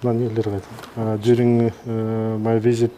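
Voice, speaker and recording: Slow-talking man with a Kazakh accent. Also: on the low side, completely flat delivery, mellow, slight background noise. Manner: halting, pausing mid-sentence. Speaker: neurosurgeon presenting a live case. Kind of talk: informal live-stream commentary.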